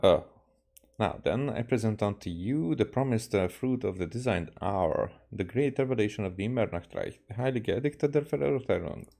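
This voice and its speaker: A man voicing a grandiose, theatrical teenage girl from a game, reading her florid lines haltingly. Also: on the low side, animated, vocal fry, dark tone. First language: Hungarian. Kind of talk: reading game dialogue aloud.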